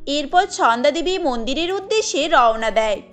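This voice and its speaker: High, bright female cartoon narrator. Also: lively intonation, moderate pace, soft background music. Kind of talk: scripted cartoon story narration.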